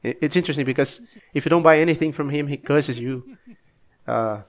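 Man, under the weather, coughing, easygoing halting gameplay commentary. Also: on the high side. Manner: relaxed, halting, frequent restarts and fillers. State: sick, coughing. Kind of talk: casual game commentary.

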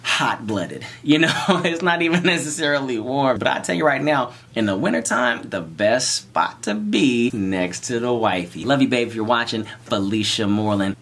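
Man in his 30s, playful vlogger, close camera mic, very lively pitch. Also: normal speed, hardly any pauses. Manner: animated, playful, jokes and repeats lines. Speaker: vlogger giving marriage tips. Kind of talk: casual to-camera chat. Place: small bright room indoors. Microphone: camera in front of him at close range.

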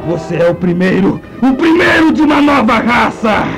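An actor playing a grandiose, excitable mad scientist with pompous, declamatory delivery in a comic radio play. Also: male voice, very high voice, moderate pace, creaky, full character voice. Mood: elated. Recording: 1980s radio play with music.

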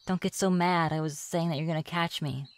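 A woman's voice: sits low, steady tone, brisk, dry recording. A woman playing a playful, sly reclusive witch in an ASMR fantasy roleplay, musing and teasing in a coy manner. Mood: playful, pestering. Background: birdsong and forest ambience.

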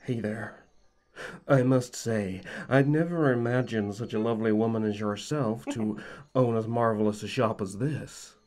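Man voicing a cocky, flirtatious elf prince, low-voiced. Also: measured pace, a little mellow, natural voice. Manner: smooth-talking, teasing, boastful. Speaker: voice actor. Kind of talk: ASMR fantasy audio roleplay.

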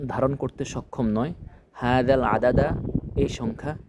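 A man's voice: medium pitch, fairly even intonation, measured pace, background noise. A man giving a scripted language lesson, didactically translating phrase by phrase in small chunks. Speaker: language teacher.

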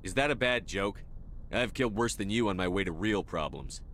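A tough soldier in command in a video game. His voice is on the low side, clipped and controlled with a hard edge. Faint rumble runs underneath. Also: expressive, brisk, a bit bright. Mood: curt, controlled. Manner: terse, hard-edged, controlled. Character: tough, no-nonsense male military commander.

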